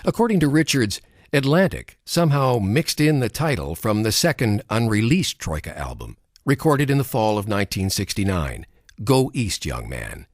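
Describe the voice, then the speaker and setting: Expressive male documentary narrator, dry studio sound. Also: fairly low pitch, normal speed, no room sound. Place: recording studio. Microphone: studio microphone.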